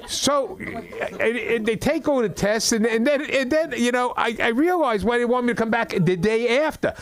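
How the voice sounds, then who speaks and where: A middle-aged man doing an open mic stand-up set, rambling through stories with stammered restarts and deadpan complaints. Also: very high voice, moderate pace. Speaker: stand-up comedian. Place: comedy club open mic.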